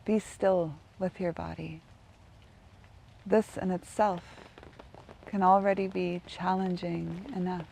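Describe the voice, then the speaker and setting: A woman leading a guided meditation in a gentle, unhurried manner, leaving long pauses between phrases. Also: on the low side, steady tone, creaky, a little hiss or hum, rough recording.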